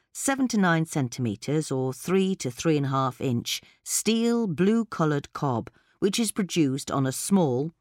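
A British woman reading a scripted magazine article in a clear, measured manner. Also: low-pitched, no room sound, studio quality. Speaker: podcast article reader. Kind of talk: scripted narration of a gardening article.

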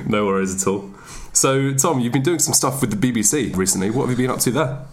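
Quick-talking podcast host. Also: male voice, mid-range voice, big pitch swings. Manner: brisk and upbeat, leading with questions. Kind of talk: casual podcast hosting.

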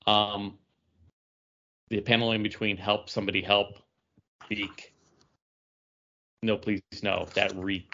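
Male co-host, slightly low voice, casual critical review talk, lo-fi muffled recording. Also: flat delivery, slow, long pauses, bright, forceful tone. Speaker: podcast co-host.

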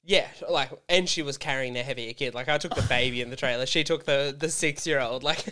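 Animated, slightly hoarse Australian man. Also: medium pitch, fairly quick. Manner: animated storyteller, swears casually. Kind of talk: casual chat.

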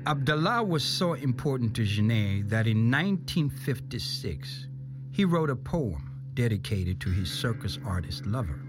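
A man's voice: medium pitch, very animated, moderate pace. A man reads scripted documentary narration in the role of narrator. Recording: music behind the voice. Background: music.

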